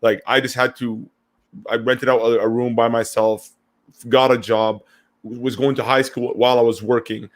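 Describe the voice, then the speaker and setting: A young man from Syria co-hosting a casual podcast chat, talking in quick bursts with lots of stammered restarts. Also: medium pitch, little pitch movement. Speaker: podcast co-host.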